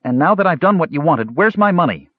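A man's voice: fairly high pitch, expressive, quick pace, dry recording, phone-quality sound, pitched above the performer's own voice. A man voicing a bold, insistent young village boy, as an audiobook character. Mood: bold, demanding.

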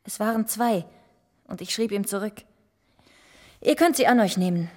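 A woman's voice: mid-range voice, moderate pace, a little mellow, close to an everyday voice. A woman in a German radio play playing a proud, earnest lady of old Italy, speaking formally and solemnly. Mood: earnest, urgent. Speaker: actor in a radio drama.

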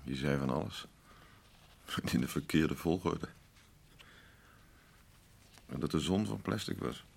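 A gruff, plain-spoken man in a naturalistic radio play. His voice is very low, with heavy gravel and a husky grain. He speaks in short, halting, evasive phrases. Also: animated, heavily acted. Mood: uneasy, evasive. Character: gruff, evasive working-class man caring for fragile woman. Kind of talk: naturalistic Dutch radio drama acting.

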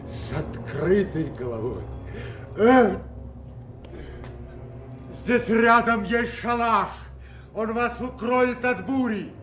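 A man's voice: extremely high pitch, lively intonation, slow pace, long pauses, fairly bright tone, reverberant, far from the mic, soft background music, heavily acted. An actor playing a loyal, urgent attendant of a king in a theatrical radio drama. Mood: urgent, solicitous.

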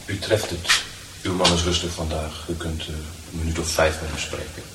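A calm, courteous attendant in a radio play, heard from far off in an echoey space with loud noise. His low voice stays flat. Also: moderate pace. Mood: calm, polite. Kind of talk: radio drama acting. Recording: distant, echoey, very noisy.

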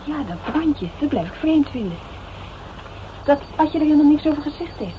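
A woman's voice: high-pitched, animated, brisk. An actress playing an anxious, loyal young woman in a Dutch radio crime drama, colloquial and questioning. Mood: questioning, teasing. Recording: noisy, narrow-band old radio drama recording.

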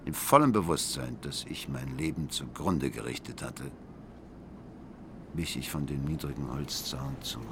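An actor playing a broken, bitter older man in a German radio drama, speaking gravely and deliberately. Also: extremely low pitch, heavy background noise. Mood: haunted, bleak. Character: broken, bitter older man haunted by ruining his life.

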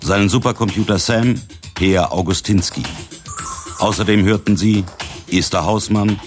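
A man with a low voice announces the credits of a radio production. His delivery is formal and scripted, with music playing behind him. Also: normal speed.

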